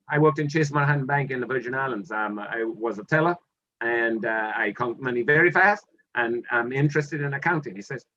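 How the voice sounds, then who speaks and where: Animated older Dominican man. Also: on the high side, dull audio. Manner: animated storyteller who acts out quoted exchanges. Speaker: interview guest, retired army officer. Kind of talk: storytelling interview.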